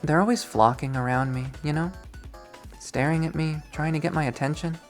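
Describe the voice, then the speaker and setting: A man voicing a sweet but scheming young college woman, coy and swooping up high as he reads a visual novel. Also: normal speed, soft background music, close to an everyday voice. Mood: confiding.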